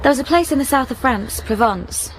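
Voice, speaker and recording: Wistful young widow, higher-pitched voice. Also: female voice, expressive, brisk, slight background noise, rough recording. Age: young adult. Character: recently widowed young woman, wistful, curious, tender. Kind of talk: naturalistic radio drama acting.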